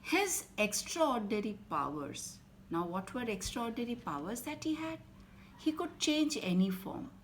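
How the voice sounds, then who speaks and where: A woman with an Indian English accent who tells children a bedtime story in an expressive, dramatic way and drops to a whisper at times. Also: fairly high pitch, normal speed, slight background noise.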